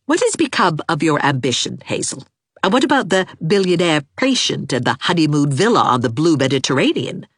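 A wry, level-headed young woman from a storybook, brisk and sardonic, as a woman narrator reads her. Her tone is low and unfussy, close to the reader's own voice. The sound is dry and intimate. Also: sing-song, husky, dry recording, full character voice.

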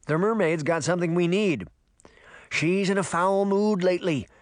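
A man's voice: high-pitched, moderate pace, dry recording, studio quality, pitched above the performer's own voice, natural voice. A man voicing a grouchy, sarcastic talking fish in a storybook, with a gurgling voice and blunt, complaining delivery. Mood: grim warning. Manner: gruff complaining, streetwise insults.

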